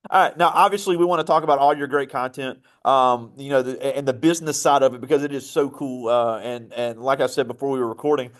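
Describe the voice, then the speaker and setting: A man hosting a conversational interview with a guest, speaking as a teacher in a high school classroom. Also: fairly even intonation, quick pace, few pauses.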